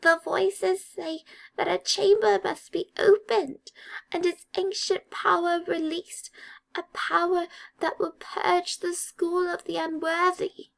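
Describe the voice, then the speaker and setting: A woman voicing a frightened, servile male house elf in an audiobook, with a quavering, halting delivery. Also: extremely high pitch, little pitch movement, creaky, voice pushed up, full character voice, poor audio. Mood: urgent warning. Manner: slow, halting, fearful delivery.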